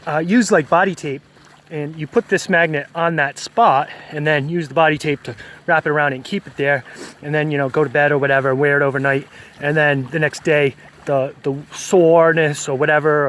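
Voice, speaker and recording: A man's voice: a little high, a bit bright. A man, vlogging outdoors on a beach in a rambling, hesitant way, full of fillers and self-corrections. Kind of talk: casual walking vlog monologue.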